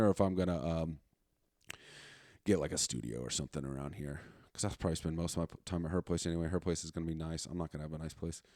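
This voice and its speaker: Male podcast host, late 30s, low voice, dry sound. Informal, sweary, unscripted rambling. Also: no echo. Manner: profane, slangy, informal. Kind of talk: casual rambling solo chat.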